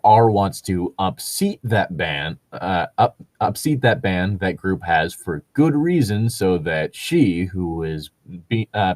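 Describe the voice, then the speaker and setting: A man co-hosting a casual commentary chat about gaming stories, talking in a rambling, halting way. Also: low voice, no echo. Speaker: co-host reading and commenting on tabletop gaming stories.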